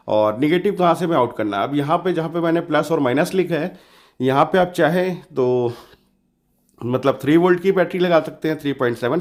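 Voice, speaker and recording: A man hosts a hands-on tutorial in a fairly high-pitched voice that sounds a little hoarse. He talks casually and informally, walking through each step and checking in with reassurance as he goes. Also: darkish tone. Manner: informal, explanatory, often adds reassuring check-ins. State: slightly hoarse from a sore throat. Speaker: DIY electronics tutorial host.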